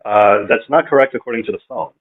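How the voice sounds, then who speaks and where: A man cutting politely into a debate with measured, careful questions. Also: medium pitch, a crowd in the background. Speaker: debate participant. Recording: tinny phone line.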